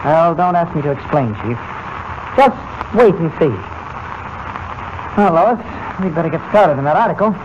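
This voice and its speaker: An actor playing a level-headed, skeptical newspaper reporter in a 1940s radio drama, brisk, plain-spoken and challenging. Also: male voice, on the high side, lively intonation, close to an everyday voice. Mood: brisk, confident. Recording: noisy, dull old-time radio recording.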